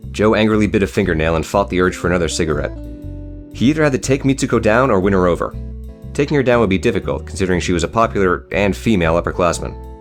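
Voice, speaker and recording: Low-pitched, brisk male story narrator. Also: faint music behind. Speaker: audiobook narrator.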